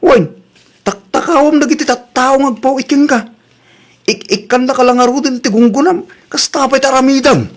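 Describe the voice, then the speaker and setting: A man's voice: very high voice, moderate pace. A man playing an exasperated, blaming husband who nags and reproaches in a comedy drama. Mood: accusing.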